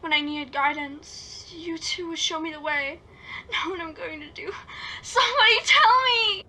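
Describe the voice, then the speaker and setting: A woman's voice: very high-pitched, a little slow, bright, forceful tone, heavily acted. A woman playing a wounded, guilt-ridden teenage girl in an amateur script read, with emotional, plaintive delivery. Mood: grieving. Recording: noisy home microphone recording.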